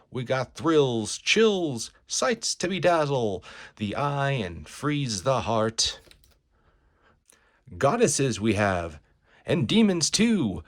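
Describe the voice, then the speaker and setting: A man voicing a bombastic carnival barker in a comic book reading, hawking with loud, drawn-out, repetitive showman's patter. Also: mid-range voice, dry recording, studio quality.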